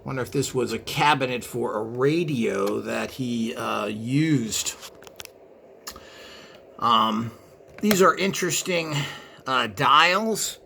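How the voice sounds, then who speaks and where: A man's voice: mid-range voice, expressive, takes it slowly, vocal fry, pauses often, pushed and bright, a little hiss or hum. A man presenting an informal show-and-tell in a hesitant, rambling way, recorded indoors behind a handheld camera.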